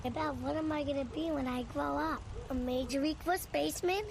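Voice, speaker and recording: A very high-pitched young child voiced by a female performer muses to a parent. It is a scripted advert monologue, with the child speaking directly to the grown-up. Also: brisk, gravelly, slight background noise. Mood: wondering.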